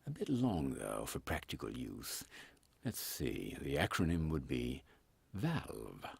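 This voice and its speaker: A man voicing an anxious, gentlemanly Victorian captain with an English accent and a lisp, formal and easily flustered in an audio comedy. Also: extremely low pitch, huge pitch swings, slow pace, vocal fry, husky, no echo, voice pushed down, heavily acted. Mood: deferential.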